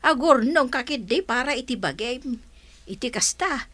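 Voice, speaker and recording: An actress playing an earnest young student in a radio drama, with an Ilocano accent. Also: female voice, high voice, animated, normal speed, fairly bright tone.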